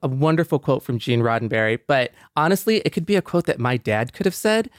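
A man's voice: medium pitch, sing-song, a little fast, no echo, clean studio sound. A man co-hosting a podcast in a personal, conversational way, reflective and given to storytelling. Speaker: podcast co-host.

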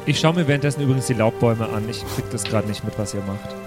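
A man's voice: mid-range voice, fast talker. A man taking part in a tabletop role-playing game with casual, improvised remarks in and out of character. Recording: music behind the voice. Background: music.

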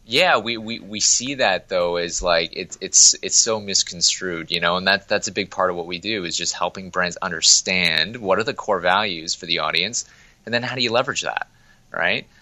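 Deep, bright-voiced Canadian businessman. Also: dry recording. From Toronto, Canada. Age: young adult. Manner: quick, chatty, many restarts. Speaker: marketing agency president. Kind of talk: casual interview talk. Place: remote interview, Toronto office.

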